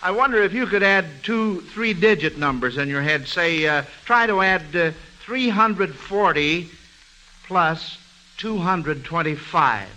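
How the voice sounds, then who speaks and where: A man's voice: high voice, animated, a little slow, pushed and bright. A man hosting an old-time radio quiz show, encouraging and avuncular with children. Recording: noisy old radio broadcast recording.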